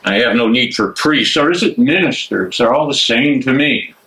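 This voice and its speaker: An actor playing a scornful, menacing Nazi prison major in a play reading, barking and mocking. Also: male voice, medium pitch, animated, normal speed, bright, forceful tone, a little hiss or hum, rough recording. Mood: mocking menace. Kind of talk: dramatic reading of a stage play.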